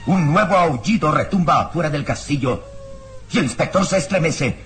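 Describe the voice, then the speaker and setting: A man's voice: a little high, animated, moderate pace, fairly bright tone, a little hiss or hum. A man narrating a radio serial in a theatrical, suspenseful, scripted style. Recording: radio drama with music underneath.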